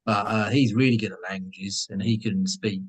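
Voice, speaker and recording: Lower-pitched man, self-deprecating. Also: fairly even intonation, moderate pace, breathy edge. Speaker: returning guest on the show. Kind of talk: casual chat.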